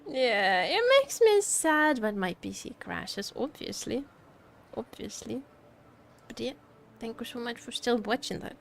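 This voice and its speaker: A woman's voice: a little high, animated, unhurried, vocal fry. A woman streaming a game with casual, playful, rambling chat, teasing and often repeating her phrases. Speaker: VTuber streamer. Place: indoor home streaming setup.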